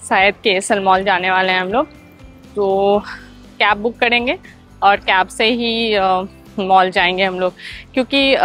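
A woman in her thirties giving a chatty travel vlog tour with a lapel mic, pausing with "uh" as she explains things to viewers. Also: mid-range voice, a bit bright. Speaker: travel vlogger. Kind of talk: casual travel vlog narration. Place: outdoor theme park. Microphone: clip-on lapel mic.

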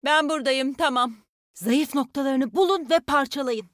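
Casting: actress as young female game fighter and healer, high pitch, plucky, folksy, confident, very dry studio sound. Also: normal speed, dry recording, close to an everyday voice. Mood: rallying. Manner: folksy, confident, spirited team talk. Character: spirited tough young Australian woman fighter, nature-loving healer. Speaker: game character voice actor. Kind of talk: video game voice lines, short battle call-outs.

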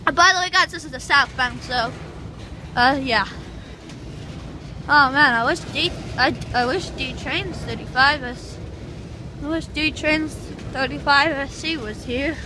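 A woman filming trains on a station platform with a handheld phone, giving excited live commentary and whooping as freight trains pass. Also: high-pitched, unhurried. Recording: loud train noise, outdoor phone audio. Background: loud passing freight trains.

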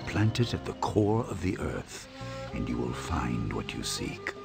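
An actor playing an ancient forest spirit beast, speaking in a grave, formal, oracular way for an animated game. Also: male voice, a bass voice, measured pace, gravelly, exaggerated voice. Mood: solemn. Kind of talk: animated game cutscene acting. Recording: music behind the voice.

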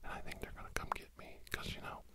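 A middle-aged man in a whispered ASMR podcast monologue, breathy and secretive, rambling haltingly and repeating words, close to the mic. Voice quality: breathy whisper breaking into low murmur. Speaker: podcast host.

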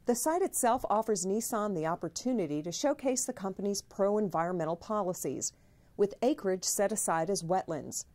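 A woman's voice: medium pitch, lively intonation, normal speed, a little mellow. A middle-aged woman delivering scripted news narration as a video reporter.

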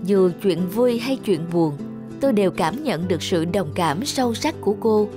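A woman delivers scripted story narration. Music plays clearly behind her voice throughout. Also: medium pitch, expressive, normal speed.

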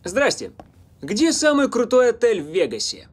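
A man's voice: very high-pitched, huge pitch swings, moderate pace, slight background noise, heavily acted. A man voicing a friendly, polite young football player in a cartoon dub, easygoing in manner, with music behind.

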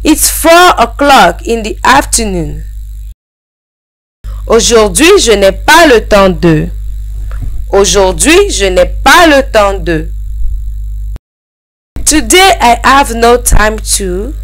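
A woman reading short language-lesson phrases as a scripted drill, with measured, deliberate enunciation. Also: fairly high pitch, big pitch swings, fairly bright tone, low-quality recording. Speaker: language teacher narrator.

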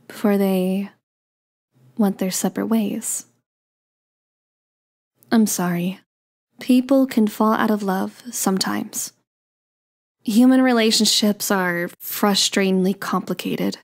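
A woman playing a playful, sweet young daughter of Cupid in an ASMR romance roleplay, coy and gently teasing. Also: mid-range voice, gravelly. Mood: tender.

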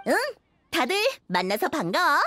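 A woman's voice: high voice, big pitch swings, normal speed, bright, forceful tone, no room sound, heavily acted. A woman voicing a cheerful young musician in an anime game, with a friendly, casual, upbeat manner. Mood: curious. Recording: music behind the voice.

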